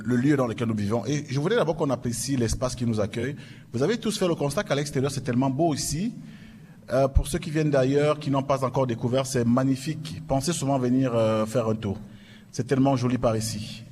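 A man's voice: medium pitch, darkish tone. Man moderating a panel in a hall. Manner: announcer-like, with emphatic rising openers. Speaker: forum moderator. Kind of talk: moderating a live panel forum. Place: event hall with an audience. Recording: reverberant hall, faint noise.

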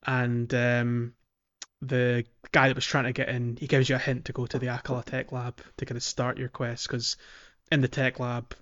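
A man's voice: mid-range voice, completely flat delivery, moderate pace, a bit bright, highs cut off. A man co-hosting a casual gaming podcast, explaining things in a hesitant way and checking for agreement. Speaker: podcast co-host.

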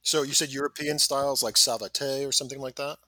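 Bright, eager man co-hosting. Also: mid-range voice, steady tone, brisk, dry recording. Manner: eager, impressed questioner. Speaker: podcast co-host. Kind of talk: conversational podcast interview.

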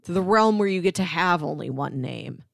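A woman's voice: fairly low pitch, lively intonation, moderate pace, creaky, no room sound, studio quality. A woman co-hosting a podcast, chatting informally about a film and acting out bits of dialogue as she retells scenes. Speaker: podcast co-host. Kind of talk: casual film discussion.

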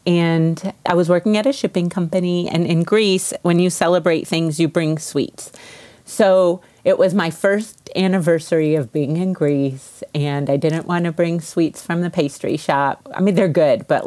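This is a woman with a distinctly low-pitched voice. She talks casually and informally, like an interview guest recounting her own story. The sound is dry, with hardly any room echo. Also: fairly even intonation, measured pace, warm tone. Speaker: interview guest, baker and business owner.

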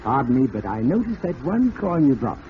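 A man's voice: mid-range voice, very animated, moderate pace, a little hiss or hum, lightly acted. Casting: man playing courteous curious professor, theatrically swooping delivery, muffled vintage radio recording. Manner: polite, formal, theatrical. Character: courteous, inquisitive male professor. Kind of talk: old-time radio drama acting.

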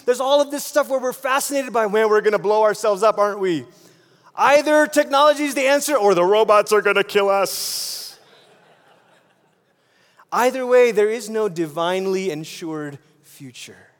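A man from Malaysia preaching a sermon in a conversational way, posing rhetorical questions and repeating phrases for emphasis. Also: high-pitched. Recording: long hall reverb.

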